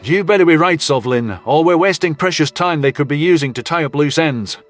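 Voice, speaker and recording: A man playing a gruff, colloquial human commanding officer in a sci-fi audio drama. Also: fairly high pitch, lively intonation, a little fast, faint music behind, close to an everyday voice. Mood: grudging. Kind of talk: audio drama character acting.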